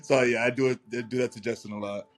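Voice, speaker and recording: Teasing, relaxed male bodybuilder. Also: medium pitch, fast talker. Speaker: bodybuilder, podcast co-host. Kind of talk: casual chat.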